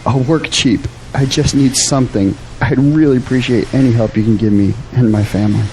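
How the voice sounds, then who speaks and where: A man's voice: medium pitch, a little fast, a little mellow, slight background noise, lightly acted, rough recording. An earnest young man played by an actor in an audio drama, desperate for work. He pleads politely in a mid-range voice, with faint ambience behind him. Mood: eager. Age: young adult.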